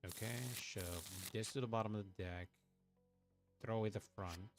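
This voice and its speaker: A man's voice: on the low side, fairly even intonation, moderate pace, music in the background. A young man from Puerto Rico, chatting casually on a livestream while he plays a card game, thinking aloud, swearing and muttering to himself. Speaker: streamer playing an online card game. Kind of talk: casual livestream chat and play commentary.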